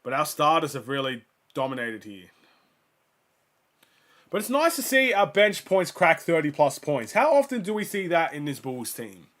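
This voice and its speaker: A man's voice: a little high, very animated, normal speed. Sick young man calling basketball plays. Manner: rattles off stats, gets louder on big plays. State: sick, voice going. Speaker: fan host of a watchalong stream. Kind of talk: casual play-by-play and stats commentary.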